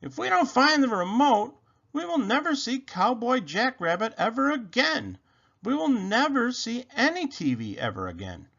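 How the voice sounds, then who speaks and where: A man voicing an excitable, fussy rabbit who panics easily, whiny and dramatic, for a children's storybook. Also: extremely high pitch, moderate pace, highs cut off, pitched above the performer's own voice, heavily acted. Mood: frantic, angry.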